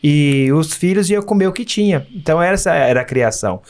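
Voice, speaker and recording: A man's voice: fairly high pitch, lively intonation, a little fast, darkish tone. A Brazilian man from Minas Gerais speaking as a podcast guest, telling personal stories in an earnest, emphatic, conversational way. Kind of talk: casual interview storytelling.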